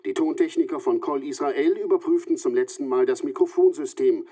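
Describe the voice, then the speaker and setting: Measured male radio reporter, dry sound. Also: a little high, dark tone, slightly rough, no room sound. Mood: sober. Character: sober male radio news reporter at a trial. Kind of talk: scripted radio play reportage.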